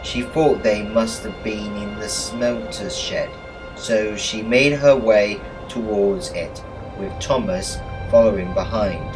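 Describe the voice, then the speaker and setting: A man reading a children's story aloud as a read-along, in a deliberate, expressive storytelling manner. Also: fairly low pitch, poor audio. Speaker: storybook narrator. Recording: music bed behind the voice. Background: music.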